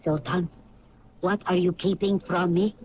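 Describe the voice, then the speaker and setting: Woman doing a grave widow, lowish voice, dull old radio sound. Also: little pitch movement, measured pace, a little hiss or hum, close to an everyday voice. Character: grave, insistent widow of a murdered man. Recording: dull lo-fi 1970s radio play.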